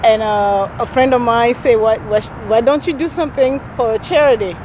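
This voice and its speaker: Cameroon-born woman, 30s, earnest and a bit high-voiced, faint outdoor noise behind. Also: normal speed, a little mellow, low-quality recording. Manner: earnest, with frequent restarts and laughs. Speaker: nonprofit founder. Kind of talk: conversational interview answers.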